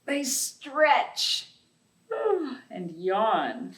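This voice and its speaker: A woman's voice: medium pitch, big pitch swings, drawn-out words, fairly bright tone. A woman hosting a children's storytime, speaking in a sing-song way and putting questions to young listeners, recorded in an echoey room at a distance from the microphone. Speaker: library storytime host. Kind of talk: children's read-aloud storytime with counting rhymes. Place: echoey indoor room, away from the mic.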